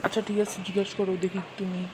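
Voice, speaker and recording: A woman's voice: mid-range voice, level tone, rapid-fire, close to an everyday voice. A woman voicing an easygoing, bumbling cartoon uncle with a Bangladeshi Bengali accent, who hesitates and draws out his thinking sounds. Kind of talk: cartoon dubbing. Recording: noisy home recording.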